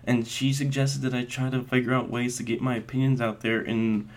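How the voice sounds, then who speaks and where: Brief: young male podcast host in his twenties, mid-pitched voice, earnest and rambling, casual solo monologue. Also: level tone, fairly quick. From Las Vegas, US. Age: 20s. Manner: earnest, rambling, many fillers and restarts.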